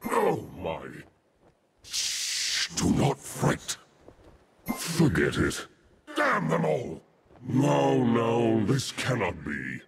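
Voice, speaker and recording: A male actor voicing a maniacal, chaotic undead lich necromancer for a video game, with a gravelly, theatrical delivery. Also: medium pitch, huge pitch swings, noisy background, exaggerated voice. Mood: ominous, sinister. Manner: theatrical, drawn-out, manic swings. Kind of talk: video game character voice lines.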